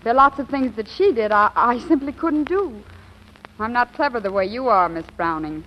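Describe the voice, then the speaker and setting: A woman's voice: fairly high pitch, expressive, normal speed, lightly acted. A woman playing an impulsive, indignant young sweetheart in a 1940s radio comedy, with dramatic emotional delivery. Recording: muffled, hissy old radio recording.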